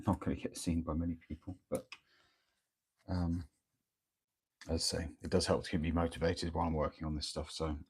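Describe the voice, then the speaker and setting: A man talks in a very low, gravelly voice that has gone croaky from a cold. He rambles hesitantly and casually, full of pauses and restarts, as he chats to viewers at home. His tone stays husky throughout. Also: a little mellow. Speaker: miniature painter streaming live. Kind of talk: casual live-stream chat while working. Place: home workspace, early morning. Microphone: microphone near the speaker.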